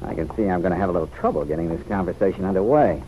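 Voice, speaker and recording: A man's voice: deep voice, rapid-fire, dark tone, close to an everyday voice. An actor playing a teasing, good-humoured young man in an old-time radio drama, with a bantering manner. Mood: playful. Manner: bantering, chuckling. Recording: noisy vintage radio recording.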